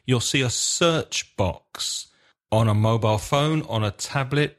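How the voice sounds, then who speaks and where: A man hosting an English lesson podcast, explaining carefully in a slow, clear teacher's manner. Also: on the low side, fairly bright tone. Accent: British.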